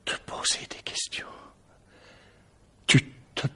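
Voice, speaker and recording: An actor in a French radio mystery drama, playing a defensive, weary suspect with a sardonic, short-tempered manner. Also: male voice, a bit bright, whispered. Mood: angry, accusing. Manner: volatile, sardonic, halting when pressed.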